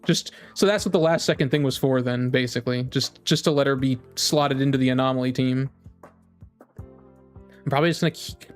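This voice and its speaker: A man streaming about games in a casual, rambling chat, often repeating his phrases as he talks to viewers. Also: medium pitch. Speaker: game streamer.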